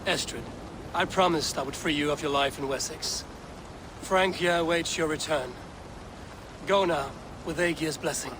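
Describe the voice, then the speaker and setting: An actor playing a steadfast, commanding Viking warrior with a firm, earnest delivery in a game cutscene. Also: male voice, fairly high pitch, fairly even intonation, normal speed, long pauses, background noise, natural voice. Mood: solemn. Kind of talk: video game cutscene acting.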